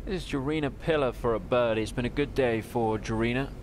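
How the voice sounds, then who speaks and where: A man calling a women's golf broadcast as play-by-play announcer, with a smooth, measured delivery. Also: mid-range voice, slight background noise. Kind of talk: TV golf commentary.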